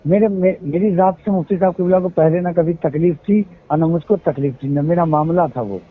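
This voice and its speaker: A man with a husky, somewhat high voice explains himself at length, citing religious sayings in his own defense. The sound is a thin, tinny phone call recording. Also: normal speed. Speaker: event organizer on a phone call. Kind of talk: heated phone conversation.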